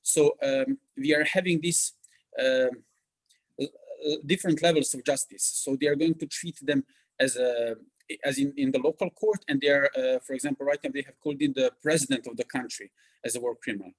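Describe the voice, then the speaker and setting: Male audience questioner, mid-pitched voice, halting delivery with frequent fillers. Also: poor audio. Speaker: audience member asking a question. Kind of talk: lecture Q&A question.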